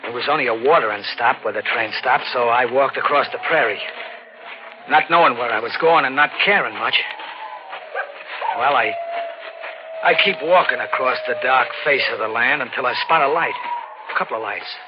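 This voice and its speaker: An actor playing a frightened, guilt-ridden drifter with a record, talking in halting slang in a 1940s radio thriller style. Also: male voice, medium pitch, noisy background, close to an everyday voice. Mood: haunted, weary. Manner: halting, anxious, repeats words, street slang. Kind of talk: 1940s radio thriller acting, first-person narration. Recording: dull old radio recording with music. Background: dramatic music.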